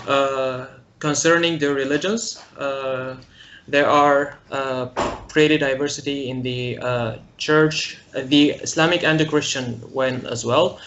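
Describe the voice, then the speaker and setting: A young man from Syria, a guest in a casual interview, speaking haltingly and searching for his words. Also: on the high side, fairly bright tone, slight background noise. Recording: muffled lo-fi recording.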